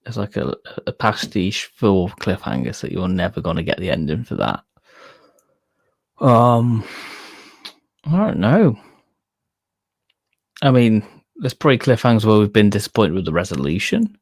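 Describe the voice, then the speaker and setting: Dude co-hosting a fan chat, lowish creaky voice, bit halting, very dry sound. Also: male voice, very animated, dry recording. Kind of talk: casual chat.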